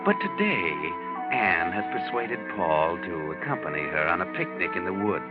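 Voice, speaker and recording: Deep-voiced male radio mystery narrator. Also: sing-song, moderate pace. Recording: dull old radio recording. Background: music.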